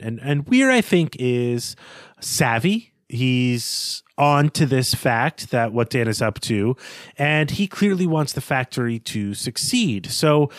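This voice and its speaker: A man co-hosts a literary discussion in a voice of average pitch. He builds his points deliberately, like an analysis being worked through. The recording is dry and free of room echo. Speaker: podcast host.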